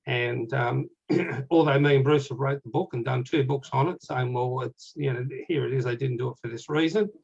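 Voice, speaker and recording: Gravelly Australian man, rambling chat. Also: mid-range voice, moderate pace, a little mellow, highs cut off. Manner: chatty, rambling, clears throat often. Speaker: guest author. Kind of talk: casual conversation.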